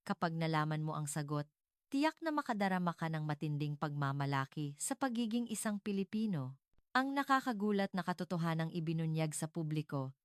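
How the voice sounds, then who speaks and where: Low, clean-voiced woman narrator. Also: expressive, brisk, clear, resonant tone, no echo, studio quality. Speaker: narrator of a dramatized story. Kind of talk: scripted dramatic narration.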